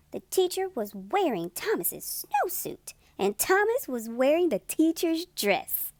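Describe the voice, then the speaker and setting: A woman hosting storytime, reading a children's book in an expressive, deliberate and emphatic narration. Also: high-pitched, no room sound. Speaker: storytime host and reader.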